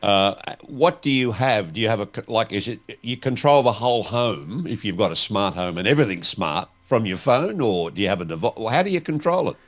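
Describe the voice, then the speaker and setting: A man's voice: lowish voice, lively intonation, a little fast, few pauses. A man co-hosting a conversational discussion, asking questions in a plain-spoken layman's way.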